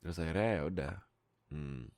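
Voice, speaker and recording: A man's voice: rumbling low, brisk, a little mellow. A young man, chatting casually and self-deprecatingly to his followers about his life as a voice actor, on a close microphone. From Indonesia. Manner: informal, self-deprecating, giggly. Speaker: amateur voice actor and content creator. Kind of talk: casual storytelling chat to followers. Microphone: close USB microphone.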